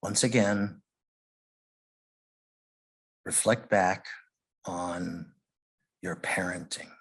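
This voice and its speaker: A man leading a guided meditation, speaking gently in short phrases with long pauses. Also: sits low, steady tone. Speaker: meditation leader.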